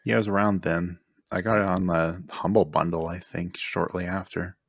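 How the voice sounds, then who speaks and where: Low-voiced man in casual podcast chat. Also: steady tone, moderate pace, vocal fry, slightly rough. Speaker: podcast co-host.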